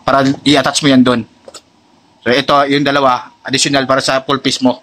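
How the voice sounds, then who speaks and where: A man's voice: mid-range voice, little pitch movement, fairly quick, a bit bright. A Filipino man chatting casually as he shows products to a customer and viewers, often addressing the customer respectfully. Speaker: motorcycle accessories seller and vlogger. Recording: noisy location with vehicle sounds.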